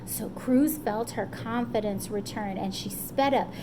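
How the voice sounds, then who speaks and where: A woman telling a story to children in an animated, encouraging way, often checking in with her listeners. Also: a little high, measured pace, noisy background. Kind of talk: animated children's storytime retelling, loosely paraphrased.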